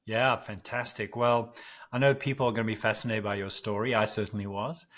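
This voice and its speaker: A man hosting a faith podcast who welcomes his guest with enthusiasm and asks rambling, halting questions. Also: lowish voice, fairly even intonation, fairly bright tone. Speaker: podcast host. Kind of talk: casual interview hosting.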